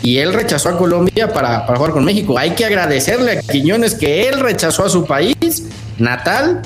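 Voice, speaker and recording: A man's voice: sits high, sing-song, normal speed, soft background music. A man giving opinions as a sports pundit in casual debate, insistent and repeating phrases for emphasis.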